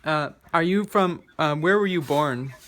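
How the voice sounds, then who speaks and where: A man's voice: on the high side, a little slow, a bit bright. A man from the United States talking casually on a call in a hesitant, polite, questioning way. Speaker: call recipient recording a scam call. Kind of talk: casual phone conversation. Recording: faint noise with clicks.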